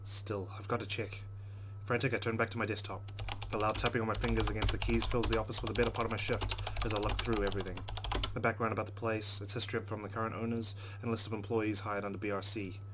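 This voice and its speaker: A man narrating a visual novel aloud in a dramatic, expressive read-aloud style, with muttered asides. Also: fairly low pitch, quick pace, noisy background. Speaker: let's play narrator.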